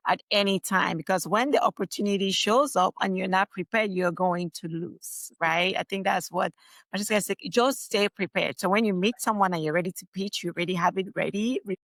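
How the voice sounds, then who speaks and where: Gravelly-voiced Cameroonian woman host. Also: mid-range voice, fairly quick, a bit bright, no room sound. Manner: enthusiastic, repeats praise, asks long rambling questions. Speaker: podcast host. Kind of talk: interview conversation.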